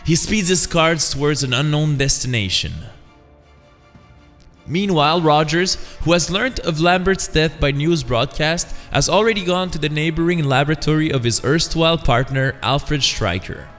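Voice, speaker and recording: Man, dramatic read-along narration, emphatic, slightly high pitch, music bed. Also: moderate pace, a bit bright, big-room sound. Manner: theatrical, emphatic.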